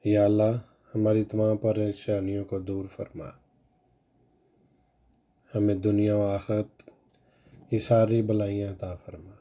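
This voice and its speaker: Slow, dark-voiced man reciting a prayer. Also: lowish voice, little pitch movement. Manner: solemn, measured devotional delivery.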